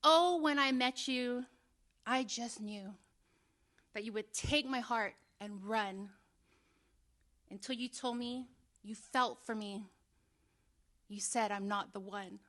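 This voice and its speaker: Female dramatic reader, fairly high, very measured pacing. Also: a bit bright. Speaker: news reporter reading lyrics. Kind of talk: dramatic spoken reading.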